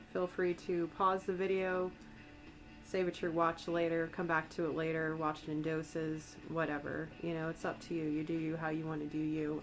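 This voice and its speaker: An American woman with a slightly raspy voice from a cold, giving a soft, meandering, conversational ASMR-style tarot reading. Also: lowish voice, rough recording. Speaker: tarot reader and astrologer.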